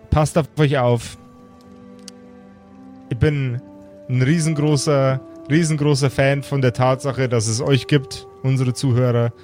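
Unhurried man giving a heartfelt sign-off. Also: mid-range voice, a little mellow. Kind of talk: heartfelt personal sign-off to listeners.

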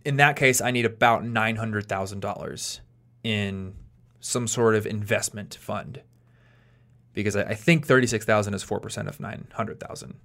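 A man in his late twenties hosting a podcast, explaining things in a relaxed chat while thinking aloud and restarting his sentences. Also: fairly low pitch, normal speed. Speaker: podcast host.

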